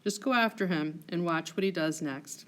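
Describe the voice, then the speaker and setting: A woman voicing a scheming male officer in a play read aloud, measured and insinuating, feigning reluctance. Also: on the low side, fairly bright tone, close to an everyday voice. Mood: feigned reluctance.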